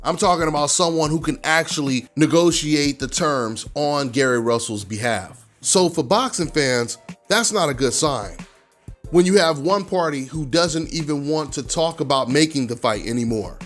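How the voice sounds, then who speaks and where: A man's voice: on the high side, moderate pace, fairly bright tone, soft background music. A man hosting a boxing news show, giving conversational commentary in an emphatic way, repeating points for stress. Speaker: host of a boxing news channel.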